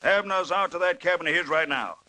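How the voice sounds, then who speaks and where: Small-town political boss villain: bright high voice, rural American drawl, bullying, folksy, vintage radio drama. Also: male voice, fairly quick. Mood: menacing, commanding. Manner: blustering, folksy, commanding drawl. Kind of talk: 1940s radio serial acting.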